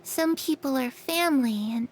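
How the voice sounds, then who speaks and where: A woman's voice: high-pitched, normal speed, a little hiss or hum, lightly acted. A woman voicing an innocent yet eerily knowing little ghost girl in a video game. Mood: quietly ominous.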